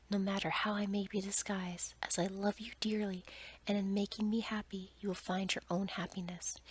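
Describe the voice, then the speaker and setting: A woman voicing a tender, pleading young prince in a softly whispered ASMR storybook reading. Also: medium pitch, slight background noise, close to an everyday voice, low-quality recording. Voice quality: soft, breathy whisper.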